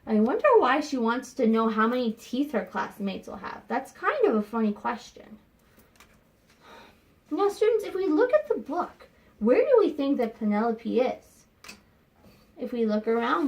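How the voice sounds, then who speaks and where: A woman teacher reading a picture book aloud to young students, expressive and pausing to think aloud with questions for them. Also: fairly high pitch, poor audio. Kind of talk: read-aloud lesson with questions to children.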